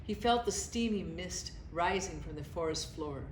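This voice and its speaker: A woman reading a children's story aloud in an expressive, narrated style. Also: lowish voice, moderate pace. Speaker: storybook reader. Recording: echoey room with faint noise.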